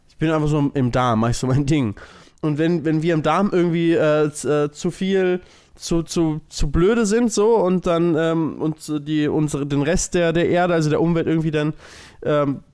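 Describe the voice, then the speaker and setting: A man co-hosting a casual comedic podcast, explaining his musings in a loose, halting way. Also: a little high, a little mellow, clear, resonant tone. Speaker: podcast co-host.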